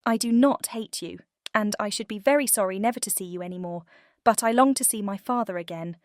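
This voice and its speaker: A woman playing a kind, tender young fairy tale heroine, speaking softly and earnestly in an audiobook drama. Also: medium pitch, a little fast, no room sound, clean studio sound, natural voice. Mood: tender, pleading. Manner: soft, earnest, gentle.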